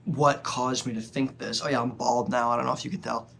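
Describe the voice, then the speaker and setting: A young man with a cold and a stuffy nose, talking earnestly and haltingly in a personal reflective monologue. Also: medium pitch, level tone, vocal fry, a bit bright, husky. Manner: earnest, halting, with frequent pauses. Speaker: vlogger.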